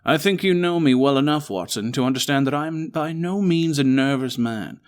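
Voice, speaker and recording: A man's voice: fairly high pitch, normal speed, clear, resonant tone, close to an everyday voice. A man playing a brilliant, weary but resolute Victorian detective in a one-man audio drama, articulate, formal and emphatic. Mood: composed, dry.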